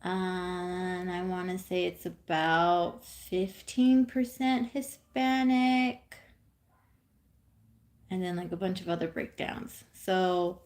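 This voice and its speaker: Thirties woman, casual home streamer, slow rambling delivery, lots of ums and soft laughs. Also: lowish voice. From California. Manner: knits while talking. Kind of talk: casual live-stream chat.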